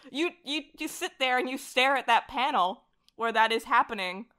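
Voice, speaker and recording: A woman chatting casually as an interview guest, animated, giggly and self-deprecating about herself. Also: fairly high pitch, normal speed. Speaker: podcast guest, voice actor and director. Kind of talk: casual interview chat about comics and horror.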